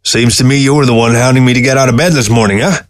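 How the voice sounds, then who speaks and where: A man voicing a weary, grumbling old taxidermist in a story, gruff and defensive in manner. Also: medium pitch, steady tone, fast talker, voice pushed up, close to an everyday voice. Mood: weary teasing.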